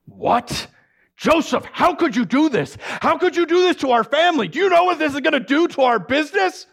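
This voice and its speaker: A man voicing a stern, furious older father, shouting his indignant words in a theatrical monologue. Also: fairly high pitch, lively intonation, normal speed, creaky, pitched above the performer's own voice, exaggerated voice.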